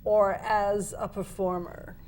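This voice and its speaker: A woman's voice: medium pitch, level tone, slow, darkish tone, a little hiss or hum. A woman hosting a community television talk show, prompting her guest with questions and short affirmations. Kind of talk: community TV interview.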